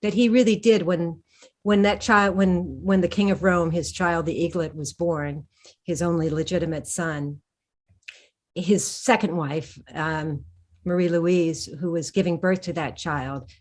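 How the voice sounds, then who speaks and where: A woman author in an interview, answering thoughtfully with frequent restarts and pauses. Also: fairly low pitch, steady tone. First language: English. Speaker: historical fiction author, guest.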